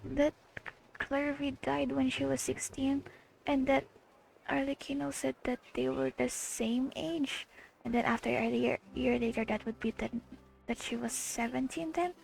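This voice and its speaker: A woman giving casual gameplay commentary, thinking aloud in a halting, questioning way as she reacts to a story. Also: high-pitched, fairly even intonation, creaky, darkish tone, a little hiss or hum, poor audio. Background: faint game music.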